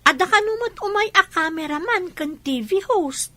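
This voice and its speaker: A woman plays a supportive young friend in an Ilocano radio drama. Her voice is high and bright. She comes across as caring and encouraging. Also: expressive, moderate pace, no room sound, exaggerated voice.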